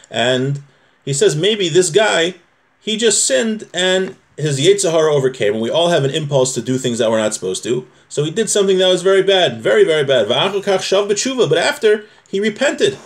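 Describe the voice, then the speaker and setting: Man teaching an informal religious law class. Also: fairly high pitch. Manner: explanatory, repeats points, checks with "right?". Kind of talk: informal lesson, reading a text aloud and explaining it.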